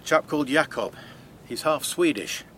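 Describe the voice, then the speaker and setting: An awkward hesitant vet. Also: male voice, fairly high pitch, lively intonation, moderate pace, fairly bright tone, slight background noise. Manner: hesitant, awkward, full of ums. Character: awkward well-meaning village vet, middle-aged man. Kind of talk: radio drama acting.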